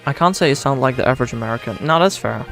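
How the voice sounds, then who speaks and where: A man with a Dutch accent talks through a live stream, his voice pitched in the ordinary middle range with a rough, fry-edged texture. He thinks aloud and rambles, often restarting his sentences and poking fun at himself. Soft music plays faintly underneath. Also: lively intonation, racing. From Netherlands. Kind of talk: casual live-stream chat.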